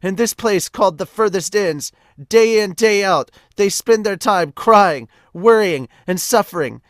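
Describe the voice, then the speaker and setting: A man's voice: high-pitched, unhurried, no echo, studio quality, voice pushed up. A man voicing an earnest, anguished young villager who pleads for the innocent in an impassioned amateur manga dub. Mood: anguished pleading.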